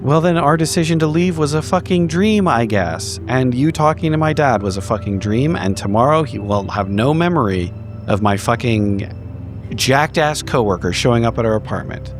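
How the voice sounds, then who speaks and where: A man's voice: mid-range voice, expressive, moderate pace, over music. A man playing a tabletop roleplaying game, improvising in character in an argumentative way and swearing casually.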